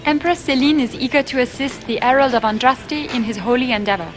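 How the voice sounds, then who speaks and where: A woman voices an eager young noblewoman from a fantasy video game. Her voice is very high, with formal, deferential, gushing courtly speech. Soft music plays underneath. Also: a little fast, natural voice. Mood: earnest.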